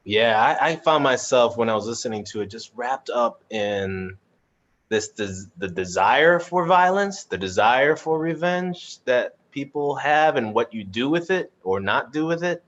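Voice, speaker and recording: A man's voice: medium pitch, animated, a little slow, fairly bright tone, highs cut off. A man hosting a casual online chat, speaking informally in a hesitant, rambling way over a video call. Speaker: host of a theatre chat.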